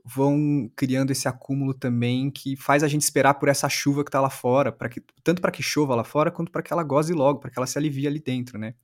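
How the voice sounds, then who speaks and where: A man's voice: medium pitch, steady tone, fast talker, hardly any pauses. A Brazilian man as an interviewer, reflective and building his thoughtful questions gradually.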